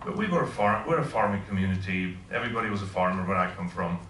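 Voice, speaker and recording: A man's voice: low-pitched, animated, quick pace, a bit bright, far from the mic, slight background noise. A middle-aged man from Switzerland, a professor, giving an informal talk and asking the audience questions. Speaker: guest speaker. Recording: echoey room, voice heard from afar.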